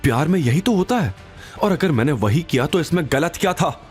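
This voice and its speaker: A man's voice: fairly high pitch, lively intonation, fairly quick, faint music behind, voice pushed up, natural voice. A man playing an obsessive, entitled male stalker in an audio drama. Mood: menacing, obsessive. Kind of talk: audio drama character dialogue.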